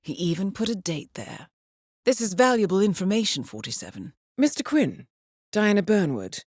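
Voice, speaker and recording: An actress playing a cool, dryly witty spy handler in a video game, with a British accent and a measured, polished, understated delivery. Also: female voice, mid-range voice, sing-song, darkish tone, dry recording, studio quality. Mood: briefing, composed. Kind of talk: scripted video game voice acting, mission briefings.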